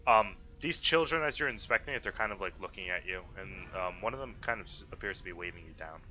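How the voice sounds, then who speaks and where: A man improvising narration as game master in a halting, conversational way. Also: deep voice, expressive, sharp tone, dull audio.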